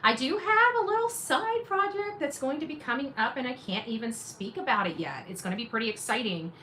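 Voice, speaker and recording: Emphatic woman commentator with clear background noise. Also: high-pitched, expressive, a little fast, pushed and bright, low-quality recording. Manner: emphatic, repeats phrases, sarcastic asides. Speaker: independent journalist and livestream host. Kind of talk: casual livestream commentary to viewers.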